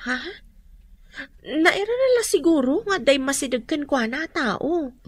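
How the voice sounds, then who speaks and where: A woman's voice: high voice, lively intonation, measured pace, dry recording. A woman with an Ilocano Filipino accent playing an indignant, scolding young woman in a radio drama. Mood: surprised, annoyed. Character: indignant young woman, scornful of a man named Gerald.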